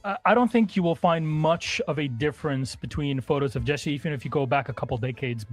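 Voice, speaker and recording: Playful male esports host, slightly high. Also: a little fast, soft background music. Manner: teasing, playful questions. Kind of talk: live esports broadcast hosting.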